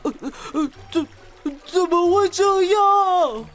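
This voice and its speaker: Woman playing a haughty tiger, high-voiced. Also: expressive, slow pace, exaggerated voice. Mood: indignant. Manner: blustering, haughty. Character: proud, scornful tiger, king of beasts, sore loser.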